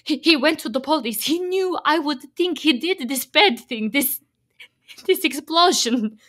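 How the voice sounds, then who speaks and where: High-voiced, desperate Slovak immigrant wife. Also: female voice, expressive, fairly bright tone. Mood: anguished confession. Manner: pleading, halting foreign English. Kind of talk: radio play acting.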